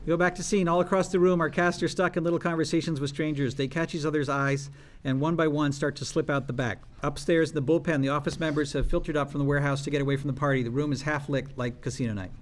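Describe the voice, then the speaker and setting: A man's voice: a little high, fairly even intonation, quick pace. A man reading stage directions aloud at a script table read, as scripted narration.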